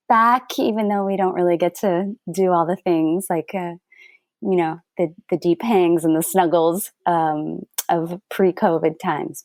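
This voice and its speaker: A woman with a lowish voice hosts a podcast, asking curious, open questions and laughing easily. The recording is close and dry. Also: creaky, darkish tone, no echo. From Canada. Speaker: podcast host. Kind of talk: conversational interview.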